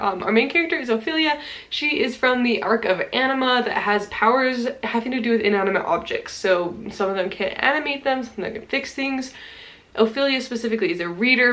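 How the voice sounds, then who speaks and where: A woman's voice: medium pitch, fairly bright tone. Stuffy-nosed young woman chatting casually. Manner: chatty, laughs often, many ums. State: stuffy nose from a cold. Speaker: book vlogger. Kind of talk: casual monthly reading wrap-up. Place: home room full of plants.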